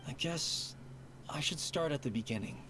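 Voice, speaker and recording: An actor playing a troubled elderly man in a video game, wistful and halting as he speaks. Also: on the high side, animated, very noisy, natural voice. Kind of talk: video game character acting.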